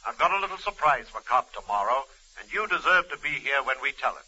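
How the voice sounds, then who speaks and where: Old muffled radio drama, genial warden, bright punchy voice, pushy but friendly. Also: male voice, mid-range voice, lively intonation, quick pace. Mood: hearty, persuasive. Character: hearty, persuasive, genial American prison warden. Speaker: radio drama supporting actor. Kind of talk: 1940s radio acting. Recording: dull, noisy vintage radio recording.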